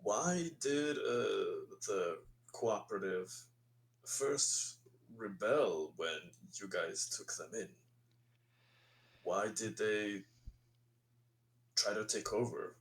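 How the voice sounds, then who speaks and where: Low-voiced man in a very echoey room. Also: lively intonation, very slow, long pauses. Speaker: tabletop game player. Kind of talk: casual in-game questioning. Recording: echoey, roomy microphone sound.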